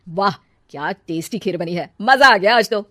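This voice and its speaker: A blunt, easily irritated young husband voiced by a woman narrator in cartoon-story style. The voice is mid-pitched for a woman and recorded very dry. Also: lively intonation, moderate pace, bright, forceful tone, no echo. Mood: delighted. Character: irritable young husband, grumbling about food. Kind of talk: cartoon family drama acting.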